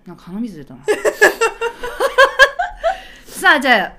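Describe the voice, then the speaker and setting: A woman's voice: mid-range voice, big pitch swings, unhurried, a crowd in the background. A woman hosting a podcast in playful casual chat, teasing her co-host with incredulous questions.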